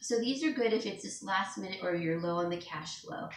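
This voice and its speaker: A woman's voice: medium pitch, lively intonation, a little fast. Chatty woman vlogging from across a room. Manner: rambling, chatty, with fillers and small laughs. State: sniffling from allergies. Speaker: home stager and DIY vlogger. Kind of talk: casual chat to camera. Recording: echoey room, distant from mic, faint hiss.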